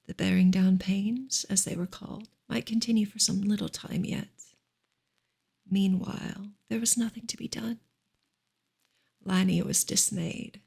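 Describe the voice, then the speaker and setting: A woman reading a novel aloud as ASMR in a soft, breathy whisper, with slow, gentle, measured delivery. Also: medium pitch. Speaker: ASMR reader of a classic novel.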